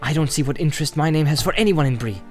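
Guy putting on a small male hobbit, higher voice, testy n wary, storybook reading w/ faint music. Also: animated, fast talker, natural voice. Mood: angry, suspicious. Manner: polite but testy, questioning.

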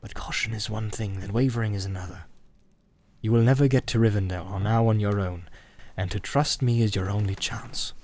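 A man voicing a grim, guarded wanderer who speaks gravely and firmly, in a fantasy storybook reading. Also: fairly low pitch, steady tone, a little mellow, a little hiss or hum, close to an everyday voice. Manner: grave, deliberate, firm.

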